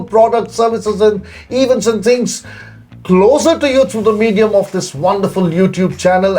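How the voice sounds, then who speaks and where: A man's voice: sits high, darkish tone. Man, show host, effusive, lots of praise, filler-heavy, faint music underneath. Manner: gushing, full of praise, many "you know" fillers. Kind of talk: effusive interview chat.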